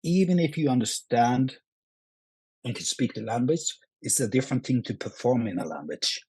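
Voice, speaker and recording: A man with a Norwegian accent, speaking English as a second language, answering interview questions in a halting way full of restarts and fillers. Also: medium pitch, expressive, a little mellow, husky, no room sound. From Norway. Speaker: actor, guest. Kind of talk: casual interview.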